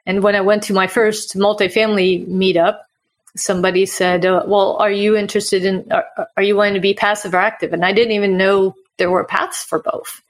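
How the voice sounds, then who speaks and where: A woman's voice: medium pitch, flat delivery, fairly quick. A woman from Sweden who moved to the US as a child, explaining things conversationally as an investor guest in an interview. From Sweden, moved to the US at nine. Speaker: podcast guest, real estate investor. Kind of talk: casual interview answers.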